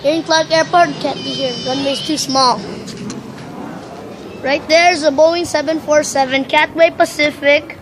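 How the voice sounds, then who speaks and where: A woman with a Filipino accent talks through a casual vlog in a rambling, excitable way, thinking out loud as she films outdoors on a handheld phone. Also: high voice, measured pace, noisy background. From Philippines. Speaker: vlogger.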